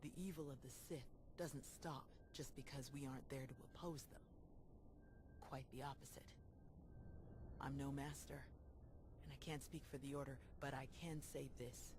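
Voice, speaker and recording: Solemn, resolute warrior. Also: female voice, a bass voice, fairly even intonation. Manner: earnest, measured. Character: solemn, resolute Jedi warrior woman, earnest and humble. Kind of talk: video game voice acting. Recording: noisy game audio capture.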